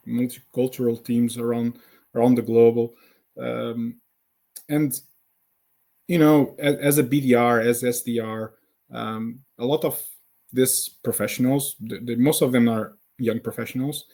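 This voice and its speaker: Podcast guest: thirties man, Portuguese accent, warm low-key tone, hesitant delivery with many restarts. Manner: halting, many fillers and repeated words. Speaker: guest, founder of a sales community. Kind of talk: conversational podcast interview.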